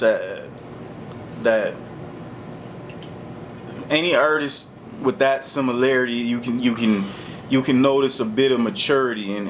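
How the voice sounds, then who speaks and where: A man's voice: mid-range voice, a little hiss or hum. A male host interviewing a guest casually, informal and often repeating words as he frames his questions.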